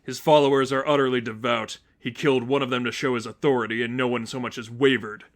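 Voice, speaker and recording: A man voicing a stern, brooding masked vigilante mentor, speaking in a grave, terse, low-key way in a story reading. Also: fairly even intonation, fast talker, close to an everyday voice.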